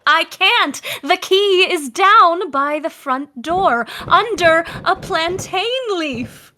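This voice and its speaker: An actress playing a confined young wife sliding into obsessive madness, confiding in hushed and singsong tones in a found-footage audio drama. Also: female voice, very high voice, takes it slowly, heavily acted. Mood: taunting singsong. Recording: noisy lost-tape recording.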